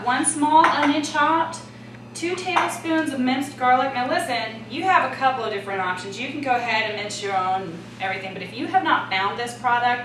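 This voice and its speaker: American female cooking host, higher-pitched, chatty and instructive, heard a little way from the mic in a kitchen. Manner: chatty, instructive, addresses viewers directly. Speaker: cooking show host and chef. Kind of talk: casual cooking demonstration. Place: home-style kitchen at the stove, indoors. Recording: roomy, distant mic, loud kitchen noise. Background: sizzling pan, clattering pots and stirring.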